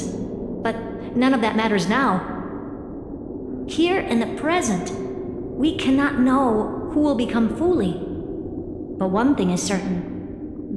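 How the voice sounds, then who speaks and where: An actress playing a solemn, enigmatic oracle in a video game, speaking in a measured, cryptic way. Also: female voice, on the high side, background noise. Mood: solemn revelation. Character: solemn, enigmatic female oracle explaining fate to another. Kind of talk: dramatic video game story dialogue. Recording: echoey reverberant hall, music underneath.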